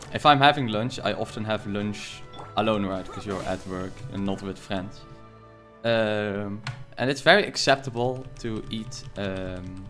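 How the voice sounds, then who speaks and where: A man's voice: fairly low pitch, a bit bright. A young man with a thick Dutch accent, chatting casually and jokingly with viewers while playing a game, often laughing. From Netherlands. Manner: rambling and jokey, laughs often. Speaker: streamer. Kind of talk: casual chat while gaming.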